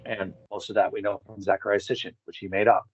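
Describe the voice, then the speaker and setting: A man speaking as an interview guest, thinking aloud in a casual, reflective way and halting as he searches for words. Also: lowish voice, level tone, husky. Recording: dull, slightly lo-fi, dry sound.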